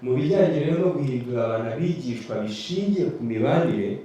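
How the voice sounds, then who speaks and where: A man's voice: mid-range voice, lively intonation, quick pace, warm tone. A man interpreting a sermon live, steadily rephrasing each phrase as he goes. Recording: distant mic, echoey hall, faint noise.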